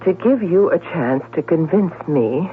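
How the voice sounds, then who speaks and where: A tinny, hissy radio drama recording. a sardonic, tipsy woman with menace in every phrase. Her delivery is deliberate and mocking, swinging widely in pitch. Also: animated. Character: cool, sardonic, tipsy woman threatening murder at gunpoint. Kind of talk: 1970s radio drama acting. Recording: tinny, noisy old radio broadcast.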